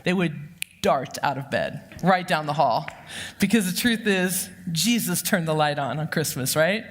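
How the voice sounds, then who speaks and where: A woman in her fifties with a loud voice, giving a lively, humorous sermon full of personal stories. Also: deep voice, measured pace. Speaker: church counseling director giving the sermon. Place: church sanctuary. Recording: long reverb of a church hall.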